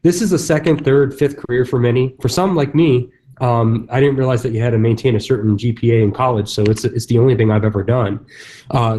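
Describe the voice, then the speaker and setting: A man's voice: medium pitch, mellow. A man who works as a real estate agent, speaking casually at a conference session, loose with fillers and restarts. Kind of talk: conference stage talk.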